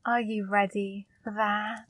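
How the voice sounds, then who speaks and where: A woman playing a flirty, controlling female demon in an ASMR roleplay, speaking slowly, softly and commandingly into a close mic. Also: mid-range voice, little pitch movement, no echo. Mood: seductive. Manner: slow, soft, commanding.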